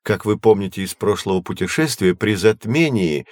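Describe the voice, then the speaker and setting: An actor playing a learned, patient astronomy professor who explains things in a measured, didactic way in an educational audio drama. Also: male voice, fairly low pitch, animated, a little mellow, no echo, clean studio sound, natural voice. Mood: patient explaining. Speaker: teacher.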